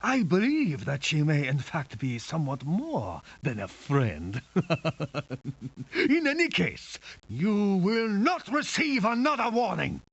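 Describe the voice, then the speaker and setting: Game villain: courteous menace, raspy and slow, grandiose threats, dull lo-fi audio. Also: male voice, sits high, sing-song, a bit bright, heavily acted. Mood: smug threat. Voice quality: gravelly, raspy. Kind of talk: video game villain acting.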